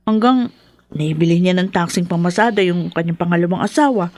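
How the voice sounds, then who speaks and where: A woman's voice: fairly low pitch, fairly quick, a little mellow, lightly acted. A woman playing a young, believing younger sister in a radio drama, explaining respectfully and earnestly. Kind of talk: Filipino radio drama acting.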